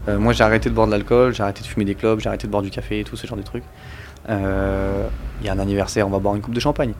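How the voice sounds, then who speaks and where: A young man with a lowish voice chats loosely about his songs in an interview. He keeps restarting and fills gaps with slang. A faint hum of room ambience sits under him. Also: level tone, rapid-fire. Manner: rambling, slangy, full of fillers and restarts. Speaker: musician guest. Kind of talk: casual interview chat.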